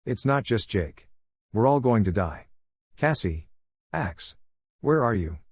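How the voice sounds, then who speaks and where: A man voicing a frantic, grieving teenage boy fighter in an audiobook, wailing and yelling. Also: on the low side, very animated, takes it slowly, breathy edge, no echo, studio quality, heavily acted. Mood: panicked grief.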